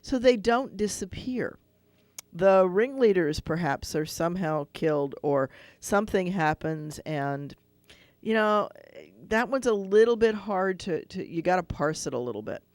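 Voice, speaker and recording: A man's voice: high voice, expressive, measured pace, dry recording. A man hosting a radio call-in show as a rabbi, explaining at length and thinking aloud with restarts.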